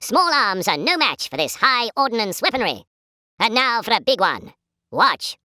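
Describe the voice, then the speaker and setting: High, plummy British wartime newsreel announcer. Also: female voice, sing-song, measured pace, bright, forceful tone, no echo, clean studio sound, exaggerated voice. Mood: stirring, patriotic. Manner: pompous, formal, chipper propaganda delivery. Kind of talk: 1940s British newsreel commentary.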